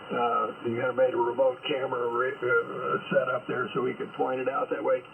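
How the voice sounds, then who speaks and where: A man's voice: medium pitch, flat delivery, fairly quick, gravelly. A man on a ham radio call, chatting casually and rambling with many fillers. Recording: tinny radio line with noise.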